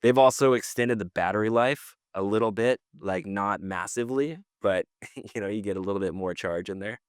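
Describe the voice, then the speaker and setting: A man's voice: lowish voice, normal speed, clean tone, no echo, studio quality. A man co-hosting a podcast in casual conversation, giving slangy opinions and thinking aloud. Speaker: podcast co-host.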